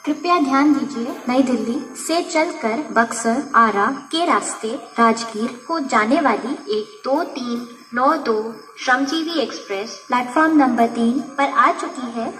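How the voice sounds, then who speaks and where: A woman with an Indian accent making formal scripted railway station announcements in measured, stitched-together phrases. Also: a little high. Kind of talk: scripted public announcement in Hindi and English. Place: railway station, announcement heard over PA speakers. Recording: echoey station loudspeaker, distant mic, music.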